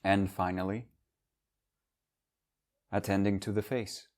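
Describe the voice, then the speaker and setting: A man leading a guided meditation as a teacher, speaking in measured, instructional phrases with long pauses. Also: sits low, little pitch movement, darkish tone.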